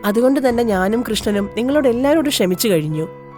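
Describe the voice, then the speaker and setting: A woman plays a young village mother from a cartoon fable, devout and firm. Her voice is fairly high and swings widely with emotion, with music clearly behind it. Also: expressive, fast, darkish tone, close to an everyday voice. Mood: forgiving. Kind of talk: animated moral story voice acting.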